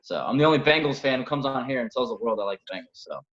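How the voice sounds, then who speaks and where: A man's voice: mid-range voice, lively intonation, rapid-fire, fairly bright tone, reverberant, highs cut off. A man co-hosting a podcast, with dry, teasing remarks in casual chat.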